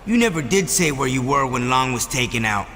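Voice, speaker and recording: A man playing a serious wrestling authority in a video game, asking pointed, measured questions. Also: medium pitch, lively intonation, bright, forceful tone, long reverb tail, slight background noise. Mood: stern, suspicious. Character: serious, probing male wrestling authority figure investigating. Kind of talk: video game cutscene acting.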